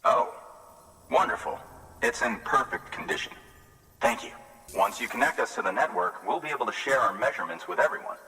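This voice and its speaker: An actor playing a polite, grateful weather station worker in a video game cutscene, speaking in a friendly, reassuring way. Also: male voice, medium pitch, expressive, brisk, sharp tone, big-room sound, faint music behind, low-quality recording.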